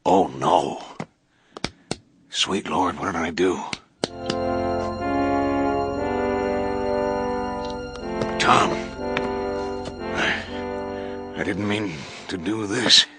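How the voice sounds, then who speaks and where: A man's voice: sits low, slow, pauses often. A man playing a desperate, crooked cattleman in a radio drama, pleading, then shaken and muttering to himself, with music behind.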